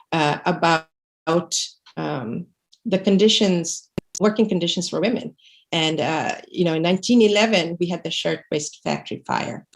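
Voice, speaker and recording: A woman's voice: lowish voice, vocal fry. A middle-aged woman from Bangladesh, an activist presenting slides on a video call, speaking earnestly with many fillers and restarts. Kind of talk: presenting slides in a livestream talk. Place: home room indoors. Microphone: video call webcam.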